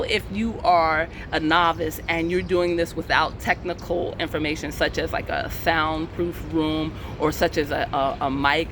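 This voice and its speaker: A man who runs a channel as an indie author, giving casual advice with frequent "uh" pauses. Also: on the high side. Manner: relaxed, many "uh" pauses, repeats himself. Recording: noisy recording with traffic outside.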